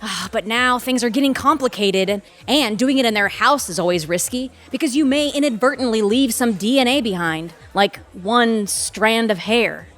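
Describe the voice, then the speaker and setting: A woman's voice: fairly high pitch, moderate pace, hardly any pauses, a bit bright, lightly acted. A woman playing an arrogant, calculating lawyer in an audio drama, articulate, condescending and self-assured. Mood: condescending, coolly amused. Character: arrogant, calculating Harvard-trained female lawyer.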